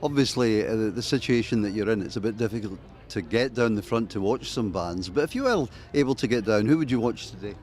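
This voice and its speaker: Glaswegian man interviewing, friendly and halting. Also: on the low side, a little mellow. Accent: Glasgow. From Scotland. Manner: friendly, halting, with many restarts. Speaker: music interviewer. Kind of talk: festival interview questions. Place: outdoors at a music festival. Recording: faint outdoor festival noise.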